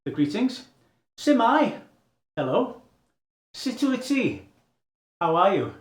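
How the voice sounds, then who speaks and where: A man's voice: very high voice, very animated, takes it slowly. A man from Wales, hosting a casual language lesson as a learner, chatty and a little tentative, saying greeting phrases aloud for the listener to copy. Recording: echoey room.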